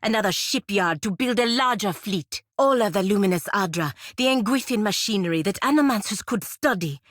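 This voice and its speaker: An actress playing a proud, stern godlike warrior woman in a fantasy video game, speaking in formal, measured phrases. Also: medium pitch, expressive, no room sound, studio quality, natural voice. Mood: stern, urgent.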